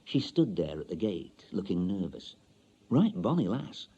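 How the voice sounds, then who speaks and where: An actor playing a chatty, wistful older Yorkshire bachelor in a radio monologue, colloquial, confiding and droll. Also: male voice, sits low, big pitch swings, measured pace, dark tone, slightly rough, dull audio, heavily acted. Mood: wry, confiding. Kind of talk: dramatic monologue, radio short story.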